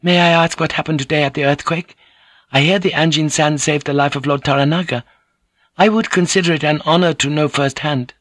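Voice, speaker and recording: A man's voice: on the high side, a little fast, fairly bright tone, no room sound, studio quality, voice pushed up. A man voicing a young Japanese courtesan, a playful and gracious hostess with polite, coaxing questions. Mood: innocent, apologetic.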